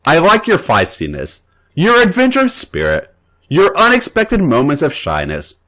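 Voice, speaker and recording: An eager, affectionate young man, as the male narrator reads him. He talks earnestly, and his voice swoops high and low. He sounds open and keen. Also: wildly swooping pitch, moderate pace, sharp tone, heavily acted.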